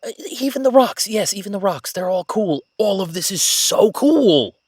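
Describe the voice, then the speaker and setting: Man playing an excitable, nerdy young academic explorer, dry sound. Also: high-pitched, normal speed, slightly rough, no echo. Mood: giddy excitement. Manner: gushing, stammering, apologetic. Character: excitable, nerdy young male academic on his first jungle expedition. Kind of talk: immersive roleplay audio drama, one-sided conversation.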